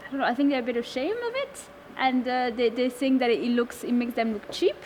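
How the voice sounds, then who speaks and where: A woman's voice: on the high side, fairly quick, darkish tone. Hesitant woman in her twenties, noisy backdrop. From Lebanon and France. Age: 20s. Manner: hesitant, many fillers and restarts. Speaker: food waste network founder. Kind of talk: casual interview answers. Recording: noisy background.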